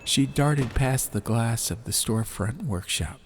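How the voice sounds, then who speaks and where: A man reading a story as an atmospheric audiobook narrator, in measured short phrases with pauses. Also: medium pitch, animated. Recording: clear background ambient noise. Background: ambience.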